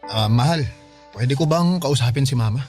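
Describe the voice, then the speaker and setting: A man's voice: medium pitch, normal speed, darkish tone, faint music behind, lightly acted. Hesitant young Filipino husband, acted by a man. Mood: respectful, apologetic. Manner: hesitant, apologetic. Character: earnest, hesitant young husband who lost his job. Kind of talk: Filipino radio drama acting.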